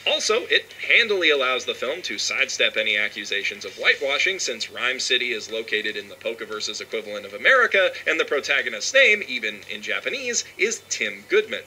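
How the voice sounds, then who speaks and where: A man's voice: mid-range voice, big pitch swings, fairly quick, hardly any pauses, sharp tone, slight background noise, rough recording. A man delivering a scripted video essay with an emphatic, opinionated manner.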